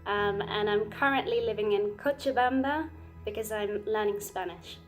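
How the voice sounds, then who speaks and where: A young woman from North Yorkshire giving a gentle update on her mission work, with fillers. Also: medium pitch, moderate pace, faint music behind. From England, North Yorkshire. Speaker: short-term mission worker. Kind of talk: recorded personal update.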